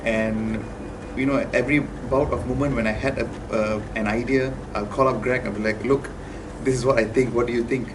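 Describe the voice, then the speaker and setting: A young man speaking earnestly in an interview, measured and pausing with fillers as he reflects. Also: medium pitch, steady tone, noisy background. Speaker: groom-to-be interviewee. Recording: distant mic with music underneath.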